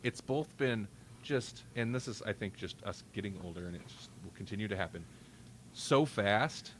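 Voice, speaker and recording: A man's voice: fairly low pitch, lively intonation, moderate pace, slight background noise. A man hosting a casual podcast, talking in a rambling, wry way and often interrupting himself. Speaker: podcast host. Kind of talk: casual banter on a live-streamed podcast.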